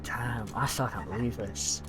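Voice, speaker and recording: A man's voice: medium pitch, a little slow, music in the background. A Scottish man chatting casually and rambling as he streams a game, reacting aloud to what happens on screen. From Scotland. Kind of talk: casual live-stream commentary.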